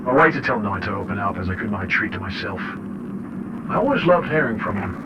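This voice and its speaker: A man playing an unsettled father who records a horror audio diary, speaking in deliberate, reflective and halting phrases. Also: on the low side, big pitch swings. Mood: uneasy, confiding. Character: unsettled father recording eerie journal notes. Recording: muffled, noisy radio-like audio log.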